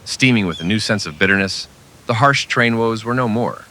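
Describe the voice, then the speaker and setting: A man's voice: lowish voice, normal speed, sharp tone, a little hiss or hum. A man narrating a scripted old-time western radio drama with dramatic storytelling delivery.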